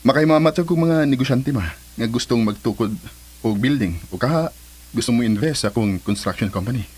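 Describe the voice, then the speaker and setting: Earnest, husky-voiced son. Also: male voice, mid-range voice, expressive, a little fast, darkish tone. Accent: Filipino, Cebuano. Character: earnest, devoted grown son, a young businessman. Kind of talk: radio drama acting.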